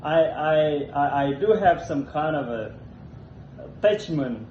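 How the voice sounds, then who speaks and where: A young man from Indonesia, hosting a casual conversation in an apartment, talking haltingly and repeating words as he looks for the phrasing. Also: lively intonation, slight background noise. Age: young adult. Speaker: host. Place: apartment room. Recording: echoey, dull low-fi room recording.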